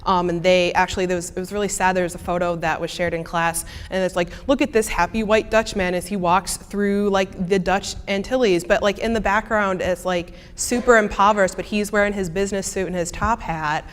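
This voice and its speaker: A woman's voice: mid-range voice, fairly even intonation, a little fast, hardly any pauses, clear, resonant tone. A young woman with a Midwestern American accent, a student answering audience questions casually with many fillers and restarts. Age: young adult. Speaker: student presenter. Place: lecture hall. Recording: reverberant lecture hall.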